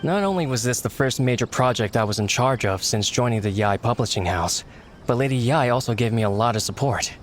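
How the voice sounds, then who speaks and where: A man's voice: mid-range voice, animated, fairly quick, slight background noise, natural voice. A man voicing an earnest young male book editor who speaks sincerely and apologetically. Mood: apologetic.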